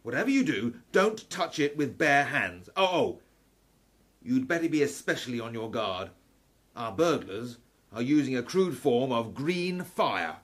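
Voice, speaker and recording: A man's voice: mid-range voice, very animated, measured pace, breathy edge. A man playing a learned, wry Victorian professor in a steampunk radio play, articulate and dramatically lecturing. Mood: urgent warning.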